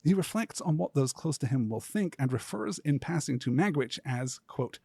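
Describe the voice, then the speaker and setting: A man hosting a podcast, delivering scripted literary commentary in a measured, articulate way with deliberate, emphatic phrasing. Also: fairly high pitch, lively intonation, creaky, mellow, no room sound, clean studio sound. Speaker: podcast host.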